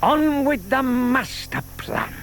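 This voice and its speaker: An actor playing a gentle, courteous, excitable older retired man who mutters to himself in a children's audio drama. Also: extremely high pitch, takes it slowly, gravelly, exaggerated voice. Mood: delighted. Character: gentle, excitable retired bachelor hatching a plan.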